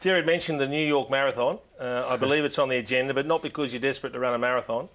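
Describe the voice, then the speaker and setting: A man's voice: medium pitch, racing, a bit bright. A man hosting a TV football panel, asking short, teasing follow-up questions. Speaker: TV panel host. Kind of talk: interview questions.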